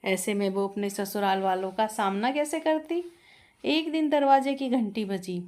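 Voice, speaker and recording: A woman's voice: mid-range voice, measured pace, a little mellow. A woman narrating a scripted family moral story as a storyteller.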